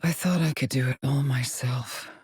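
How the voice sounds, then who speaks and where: A haunted lone female space explorer from a sci-fi video game, speaks in a low, husky voice. She is measured and solemn, weary yet determined, and often breathy, as if logging her findings alone. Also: a little mellow, dry recording, clean studio sound, exaggerated voice. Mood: somber, resolute. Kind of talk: dramatic game voice lines, short log entries.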